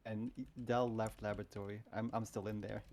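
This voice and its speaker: A man's voice: fairly low pitch, level tone, a little fast, over a noisy crowd. A man chatting casually while explaining his moves as a player in an online game.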